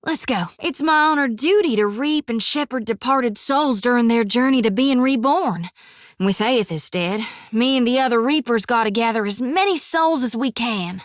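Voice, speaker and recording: An actress voicing a devout young female reaper priestess haunted by visions, with a drawl, often hushed and whispery, recorded dry in a studio. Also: a little high, no echo, natural voice. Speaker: game character. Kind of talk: game voice acting.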